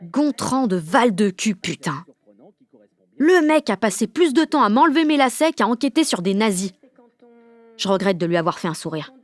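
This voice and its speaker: A woman's voice: medium pitch, sing-song, moderate pace, natural voice. An actress playing a blunt, fiery sixteen-year-old French girl who narrates an audio drama in sardonic slang. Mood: sardonic, irritated. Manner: colloquial, heavily slangy, sardonic.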